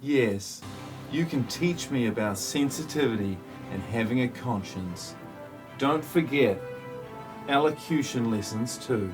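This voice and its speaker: A man playing a solemn otherworldly messiah in a spoof audio play, speaking in a portentous, measured way. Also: medium pitch, expressive, over music, natural voice. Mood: calm, grave. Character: solemn otherworldly messiah passing judgement on humanity.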